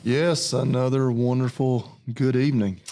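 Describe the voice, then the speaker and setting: A man's voice: mid-range voice, fairly even intonation, a little slow, mellow. A man and farmer co-hosting a casual podcast, easygoing and rambling as he tells stories. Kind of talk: casual chat.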